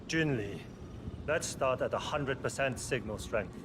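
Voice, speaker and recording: A man's voice: medium pitch, measured pace, noisy background, close to an everyday voice. A man voicing an obsessive, impatient scientist who gives commands in a dramatic video game. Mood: commanding. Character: obsessive, driven male scientist running brutal mind-control experiments. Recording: loud music over the voice.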